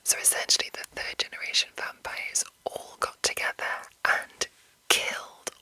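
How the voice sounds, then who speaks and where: A woman whispering an informal, rambling ASMR explainer as the host, in a breathy, unvoiced voice. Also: normal speed, sharp tone, no echo, poor audio. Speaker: host of ASMR video series on roleplaying games.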